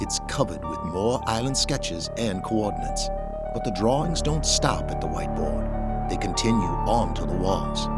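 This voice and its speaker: Narrator for audio drama: man with a lowish voice, scripted present-tense storytelling, clear music underneath.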